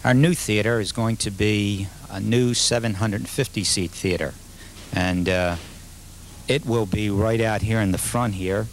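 A middle-aged restaurant manager interviewed on camera, rambling with many fillers and restarts. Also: male voice, on the low side, steady tone, measured pace, music in the background, low-quality recording. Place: indoor dinner theater stage. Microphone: handheld mic.

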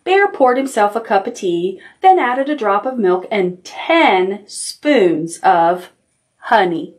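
A middle-aged woman leads a preschool storytime at an unhurried pace. Her voice sits at an average pitch, and she uses simple, encouraging phrases with plenty of repetition for small children. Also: big pitch swings, echoey room, recorded from a distance. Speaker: library storytime host for preschoolers. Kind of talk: children's storytime, instruction and read-aloud. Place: indoor room decorated with stuffed toys.

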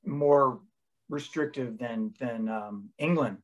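A middle-aged American man hosting a casual video-call chat, speaking haltingly and repeating words as he goes. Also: medium pitch, dull audio. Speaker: host and touring musician. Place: room at home with bookshelves.

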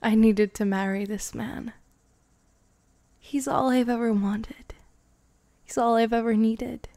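A woman voicing a devoted vampire girlfriend in an intimate ASMR roleplay, breathy and often slipping into a whisper. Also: a little high, fairly even intonation, no room sound. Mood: tender, gracious. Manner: slow, intimate, hushed, sighing. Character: devoted, lovestruck vampire bride, tipsy and intimate.

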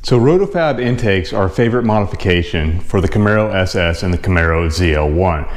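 A man in his forties, a car channel host, explaining test results to camera in a measured, technical way from his home garage. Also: sits low, lively intonation. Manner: measured, technical, plain-spoken.

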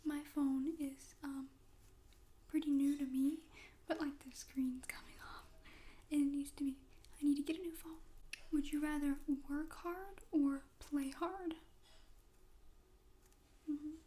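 Softly whispering young woman, slow ASMR. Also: high-pitched, steady tone. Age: young adult. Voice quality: breathy, mostly whispered. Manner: slow, gentle, informal questioning with pauses. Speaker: ASMR host. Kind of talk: soft-spoken ASMR friend interview roleplay. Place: small room at home, indoors.

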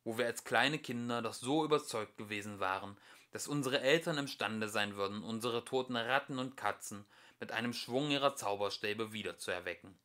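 A man's voice: mid-range voice, normal speed, sharp tone. A young adult man reading a book of fairy-tale commentary aloud as an amateur audiobook narrator.

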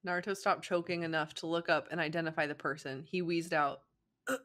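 Young woman, fairly low pitch, giggly, amused, casual storytelling. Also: steady tone, fast, a bit bright. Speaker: fan fiction reader. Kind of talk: casual story narration with banter.

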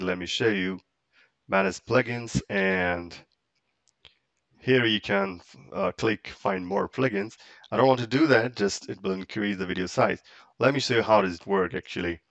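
Man, low pitch, dry close recording, halting tutorial delivery. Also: creaky, fairly bright tone, dry recording, highs cut off. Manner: halting, step-by-step, non-native English phrasing. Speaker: tutorial presenter. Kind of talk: software tutorial, casual explanation. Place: close, dry indoor voice-over for a screen recording.

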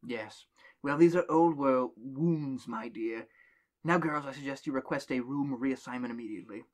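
A man voicing a tired old woman at a dormitory desk, speaking slowly and gravely in warnings, read aloud for a horror story podcast. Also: mid-range voice, close to an everyday voice.

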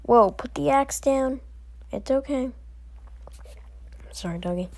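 A woman performing acted voicelines for a fan animation with slow, deliberate delivery. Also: high voice, noisy background. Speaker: amateur voice actor.

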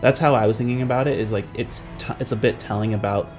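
A male podcast host with a mid-pitched voice chats casually about a game world. He thinks aloud in a hesitant way, with music playing behind him. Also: fairly even intonation, fast, a little mellow. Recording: background music under the voice.

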